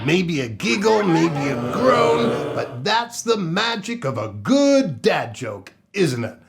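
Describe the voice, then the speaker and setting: A man hosting a children's storytime show in a playful, theatrical, exaggerated way, with sing-song exclamations and pauses. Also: fairly high pitch, sing-song, gravelly, faint music behind. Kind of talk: playful children's show presenting with dad jokes.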